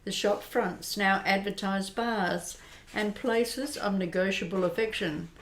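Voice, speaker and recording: A woman narrating an amateur radio drama in a steady, descriptive way. Her voice sits at a middle pitch. The recording has a roomy echo around it. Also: measured pace, a little hiss or hum.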